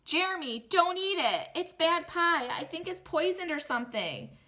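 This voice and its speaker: A woman's voice: extremely high pitch, very animated, moderate pace, sharp tone, voice pushed up, exaggerated voice. A woman voicing an earnest, anxious young boy in a children's storybook. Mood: panicked, urgent. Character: earnest young boy narrator, anxious about his new friend.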